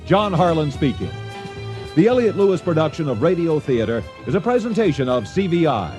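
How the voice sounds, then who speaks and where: An American man announces for radio theater from a script. His voice sits a little high and moves expressively, with music clearly behind it. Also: very animated, fairly quick.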